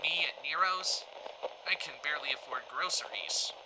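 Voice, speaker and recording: A man's voice: on the high side, moderate pace, bright, forceful tone, a little hiss or hum, low-quality recording. A man playing a nervous, starstruck fan calling in to a radio show, gushing and hesitant, in a radio drama. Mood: pleading.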